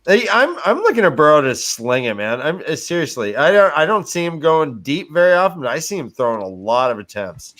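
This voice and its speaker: A man on a fantasy football panel, debating casually in a halting way and repeating himself. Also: on the high side, very animated, a bit bright. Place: online livestream group call.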